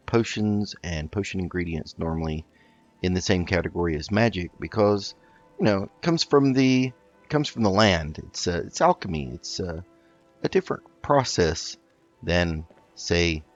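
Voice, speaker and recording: A man with a fairly deep voice hosts a roleplay let's play. He speaks in a measured, halting way, narrating as he goes, with faint music underneath. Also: muffled recording. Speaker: gaming video host.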